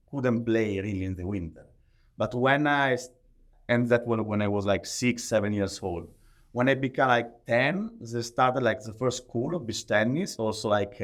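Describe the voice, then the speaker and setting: A man with an Italian accent answering interview questions conversationally in halting, non-native English, often leaning on the word "like". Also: medium pitch. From Italy. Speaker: podcast guest, professional athlete.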